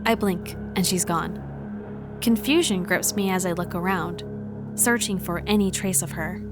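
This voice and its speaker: A woman playing a wary, determined traveler, giving measured, introspective first-person narration in a visual novel fan dub. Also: fairly low pitch, close to an everyday voice. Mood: confused, resolute. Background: music.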